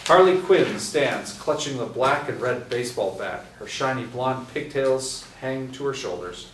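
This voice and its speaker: A man reading stage directions aloud at a table reading, steady with small restarts. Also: medium pitch, unhurried. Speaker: script narrator. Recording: echoey room from a distance, faint hiss.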